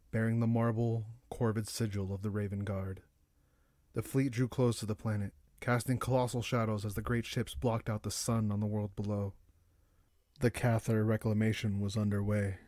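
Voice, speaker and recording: Low, dry male audiobook narrator. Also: a little mellow, no echo. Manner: solemn, grave. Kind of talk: scripted dramatic narration. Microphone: close, dry studio-style microphone.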